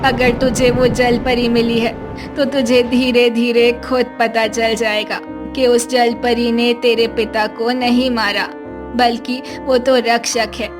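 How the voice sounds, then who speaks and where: Slow, mournful old grandmother voiced by a woman. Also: a little high, level tone, music in the background, pitched below the performer's own voice. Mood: gently assuring. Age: older. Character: frail, anxious, loving old village grandmother. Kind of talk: dramatised Hindi cartoon story dialogue.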